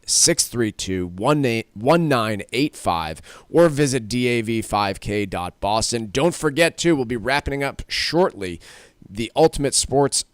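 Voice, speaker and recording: A man's voice: on the low side, dry recording. A man hosting a radio talk show, chatting in a friendly way with a guest and reading out phone numbers.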